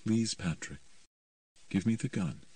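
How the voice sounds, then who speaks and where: Man putting on a grieving mother, firm, gentle, earnest, slow, dry distant mic. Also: low voice, animated, no room sound.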